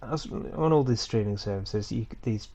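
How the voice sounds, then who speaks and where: A man with a head cold walking viewers through a screen-recorded tutorial at home in a relaxed, rambling way, with frequent ums and small self-corrections. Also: on the low side, moderate pace. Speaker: tutorial host. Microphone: computer mic for a screen recording.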